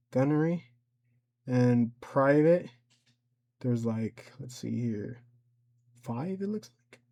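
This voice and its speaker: A man gives an informal computer tutorial in a mid-pitched voice. He talks off the cuff and haltingly, often repeating a step or correcting his own wording as he goes. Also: darkish tone. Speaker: tutorial creator. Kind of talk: casual unscripted screen-recorded tutorial. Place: voice-over for a screen recording.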